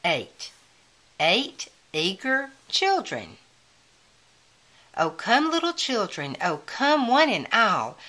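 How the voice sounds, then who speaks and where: Woman reading slowly, with lively swooping pitch. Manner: deliberate, counting out for children. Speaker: children's book reader. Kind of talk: read-aloud of a children's picture book.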